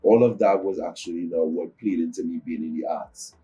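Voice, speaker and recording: A young man from Nigeria with a low voice, a first-language Yoruba speaker. He answers interview questions in a measured, earnest way. His tone is soft and mellow. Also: dull audio, faint music behind. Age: young adult. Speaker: guest musician.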